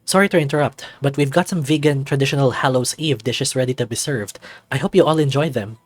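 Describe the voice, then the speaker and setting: A man's voice: mid-range voice, fast, dry recording, natural voice. A man voicing a refined, fussy, easily flustered gourmet bat monster hosting with formal, genteel flourish. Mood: proud.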